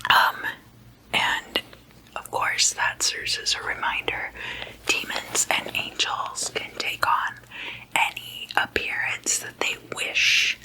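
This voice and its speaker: A woman hosting whispered ASMR, speaking in a soft, breathy whisper in a casual, gossipy, deliberate way, like chatting at a sleepover. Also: low-quality recording. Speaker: ASMR host.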